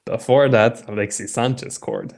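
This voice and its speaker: A man's voice: mid-range voice, steady tone, normal speed, creaky, a little mellow. A man co-hosting a football podcast, joking in a wry, dry way. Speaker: podcast co-host. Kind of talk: casual football chat.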